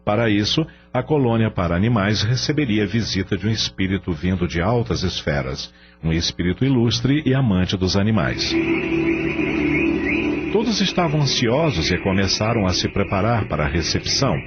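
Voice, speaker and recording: A man's voice: on the low side, big pitch swings, moderate pace, lo-fi sound. A Brazilian man narrating a radio novel in a dramatic scripted style. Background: music.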